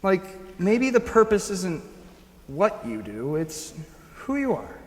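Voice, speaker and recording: Man playing an awkward high-voiced teenage boy, echoey hall. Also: wildly swooping pitch, takes it slowly, exaggerated voice. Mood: earnest. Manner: hesitant, rambling, stammering. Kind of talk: stage musical acting. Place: school auditorium staged reading. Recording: echoey auditorium, piano music behind.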